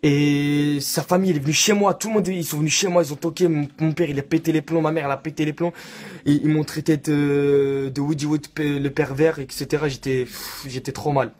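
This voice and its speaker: A man's voice: fairly high pitch, fairly even intonation, a little fast, a little mellow. A young man talking casually and theatrically, in slang, in a prank call vlog, recorded on a phone at arm's length in a small room. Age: young adult. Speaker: online prankster.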